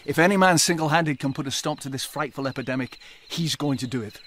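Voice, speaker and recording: An actor playing a wry, hard-drinking colonial gentleman in a radio drama, teasing and cynical, with a sardonic, probing way of talking. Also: on the high side, quick pace, a little hiss or hum, lightly acted.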